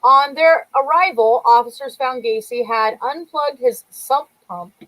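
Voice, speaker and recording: Laughing, high-voiced woman co-host. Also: a little slow, fairly bright tone, poor audio. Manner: laughs often, greets viewers in chat. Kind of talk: casual chat, reading a true-crime account.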